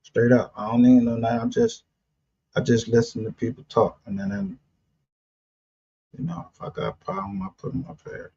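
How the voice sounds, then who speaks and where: A man with a husky voice chatting casually on a livestream, blunt and profane, with street slang. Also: medium pitch, very animated, normal speed, pauses often, mellow. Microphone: streaming call. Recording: dull lo-fi stream audio.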